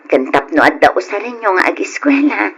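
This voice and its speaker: A woman acting in an old Ilocano radio drama as a devoted young mother, tender, pleading and emotional, with a husky voice. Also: medium pitch, sing-song, normal speed, fairly bright tone, heavily acted, poor audio. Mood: gently reassuring. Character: devoted young mother leaving her children to work abroad.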